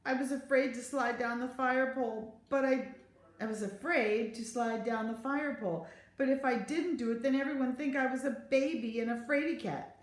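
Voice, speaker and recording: A woman's voice: high voice, moderate pace, voice pushed up, lightly acted. A woman reading a storybook, voicing a scared, sheepish little boy who speaks hesitantly. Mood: timid confession. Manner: timid, hesitant. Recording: roomy echo.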